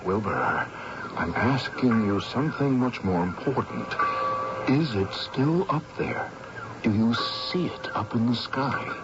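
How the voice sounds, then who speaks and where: A man's voice: mid-range voice, huge pitch swings, slow pace, full character voice. An actor playing a haunted, weakened man, anxious and broken, with a hesitant, faltering delivery in a radio drama. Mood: desperate. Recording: noisy, muffled old radio recording.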